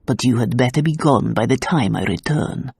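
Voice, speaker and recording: Woman doing a gruff, deep-voiced stern policeman. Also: animated, normal speed, darkish tone, dry recording, clean studio sound, exaggerated voice. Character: gruff whiskered middle-aged male police officer.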